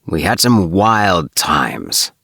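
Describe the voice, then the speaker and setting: A man voicing an easygoing, chatty young alien spaceman in a storybook, with relaxed, hesitant phrasing. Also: low voice, very slow, vocal fry, no echo, studio quality, exaggerated voice. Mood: fond, amused. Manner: relaxed, with sighs and hesitant uhs.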